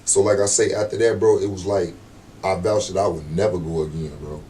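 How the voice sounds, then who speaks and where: A man with a deep voice, a rapper being interviewed, talking in a laid-back, slangy way and often asking "you know what I'm saying". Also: fairly even intonation, a little fast, mellow, recorded from a distance, a little hiss or hum. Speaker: rapper guest. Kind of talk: casual interview talk.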